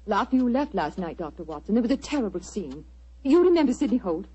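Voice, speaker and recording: A woman acting a genteel, earnest, wealthy society lady in a 1940s radio drama, polite, measured and dramatic. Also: medium pitch, big pitch swings, mellow, husky. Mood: anxious, pleading. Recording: muffled vintage 1940s radio recording.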